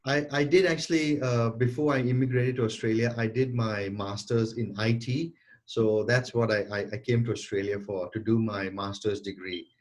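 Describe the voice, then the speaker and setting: A man's voice: medium pitch, steady tone, moderate pace. A man in his forties, a guest entrepreneur answering interview questions earnestly over a remote call, often repeating and restarting words. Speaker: guest entrepreneur and sales coach.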